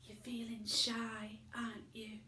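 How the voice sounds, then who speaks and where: A woman voicing a gentle, encouraging father in a storybook, with a reassuring manner. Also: a little high, monotone, slow, slight background noise. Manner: soft, reassuring. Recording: echoey room.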